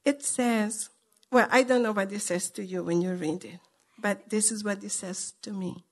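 A woman preacher who is not a native English speaker, delivering a sermon in short, emphatic, repeated phrases with pauses for an interpreter. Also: mid-range voice.

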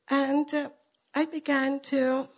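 A woman preacher who is not a native English speaker, delivering a sermon in short, emphatic, repeated phrases with pauses for an interpreter. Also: high-pitched, level tone.

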